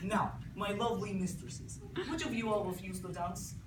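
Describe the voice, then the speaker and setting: A jovial nobleman hosting a feast calls out grand commands to guests and servants, as a woman puts him on in a puppet show. The voice sits at an ordinary pitch. It is caught from across an echoey room, with steady noise underneath. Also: moderate pace, far from the mic, natural voice. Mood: hearty. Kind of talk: live puppet-show acting.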